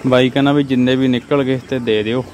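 A man's voice: mid-range voice, little pitch movement, fast, a little mellow. Young Punjabi man over farm noise. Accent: Punjabi from Indian Punjab. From Punjab, India. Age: young adult. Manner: informal, addresses viewers as friends. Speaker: farm vlogger. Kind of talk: casual vlog commentary. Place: poultry farm sheds and yard. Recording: noisy farm with clucking birds. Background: chickens clucking, birds.

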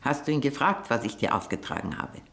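Old woman, domineering aunt, scheming, biting, very animated intonation, radio drama. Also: rumbling low, quick pace, gravelly, heavily acted. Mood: scolding, calculating. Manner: scolding, biting, insistent questioning. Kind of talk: German radio play acting.

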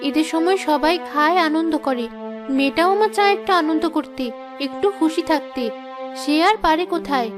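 A woman's voice: high voice, normal speed, close to an everyday voice. A woman voicing a patient, worried village wife and mother in a cartoon, in a soothing, concerned manner. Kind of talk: cartoon dialogue dub. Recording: music under the voice.

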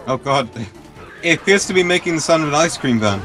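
A man's voice: a little high, animated, fairly quick, fairly bright tone, soft background music. A young man chatting and joking casually while playing a video game. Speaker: gaming video player. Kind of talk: joking casual gameplay chat.

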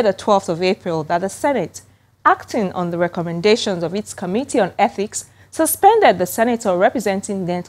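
A woman's voice: medium pitch, a little mellow, no echo. A woman with a Nigerian accent reading scripted news narration as a television presenter.

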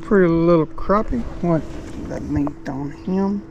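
A middle-aged man talks slowly and easily from a small boat on a shaded swamp. His voice is gravelly and sits a little high for a man. The sound comes from a head-mounted camera, with faint outdoor noise behind him. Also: a little mellow, lo-fi sound. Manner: laid-back, folksy, talks to the fish. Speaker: fishing vlogger. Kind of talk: casual narration while fishing. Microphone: head-mounted action camera.

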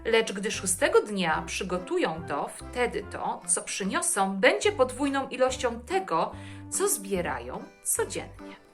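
Lady reading bible verses for children, lively pitch swings, careful storyteller delivery, soft music behind. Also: measured pace. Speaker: host reading scripture to children. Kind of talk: scripted read-aloud of Bible verses.

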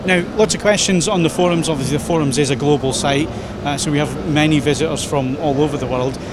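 A man with a Scottish accent asks questions in a chatty, informal way, his voice pitched a little higher than most men's. He is on a crowded trade show floor, and clear hall noise carries on behind him. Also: quick pace. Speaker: tech website interviewer.

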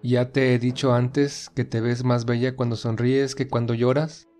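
Man acting a tender gentleman suitor over music. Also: medium pitch, flat delivery, a little slow, natural voice. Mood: playful tender. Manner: tender, earnest, intimate. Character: tender, determined wealthy young gentleman in love. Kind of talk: audio drama romance.

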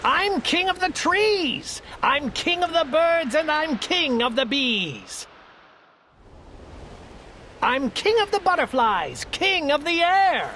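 A woman's voice: sing-song, takes it slowly, a little hiss or hum. A woman voicing a boastful, imperious male turtle king in a rhyming storybook. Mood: exultant. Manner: imperious, booming exclamations.

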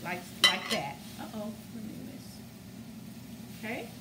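A woman's voice: medium pitch, big pitch swings, unhurried. A middle-aged woman hosting a home cooking show, chatting casually and often checking in with viewers with "guys" and "okay?". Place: large home kitchen, indoors. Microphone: camera mic across the kitchen. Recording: roomy, distant, noisy kitchen sound.

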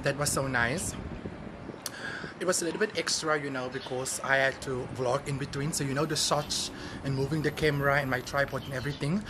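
A man's voice: medium pitch, fairly even intonation, a little fast. A man vlogging casually, chatting directly to his viewers. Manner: chatty, addresses viewers as guys. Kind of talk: casual vlog chat to viewers. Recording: noisy vlog audio with background ambience.

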